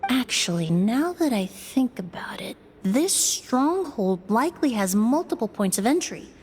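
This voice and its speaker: A woman voicing a sharp, analytical young female investigator in a game, explaining in a calm, measured way. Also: on the high side, breathy edge, full character voice. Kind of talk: voiced game dialogue.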